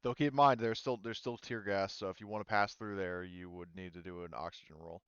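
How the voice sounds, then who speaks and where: A man speaking casually and haltingly as game master, explaining options in a tabletop roleplaying game. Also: low-pitched, steady tone, fairly bright tone, clear, resonant tone, no echo, highs cut off. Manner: halting, informal explaining with frequent "like".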